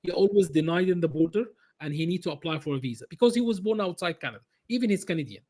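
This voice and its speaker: Guy explaining stuff, keeps restarting and repeating words, voice pitched a bit up. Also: lively intonation, fast talker, dark tone. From Egypt. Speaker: business consultant guest. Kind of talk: interview answers.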